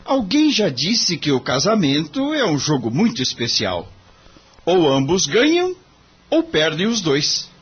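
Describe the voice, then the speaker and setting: A courteous young lawyer from an audio drama, played by a man. His voice is a little high and slightly husky, with formal, deferential phrasing. The sound is somewhat muffled. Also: wildly swooping pitch, measured pace, a bit bright, full character voice. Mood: courteous, concerned. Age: young adult.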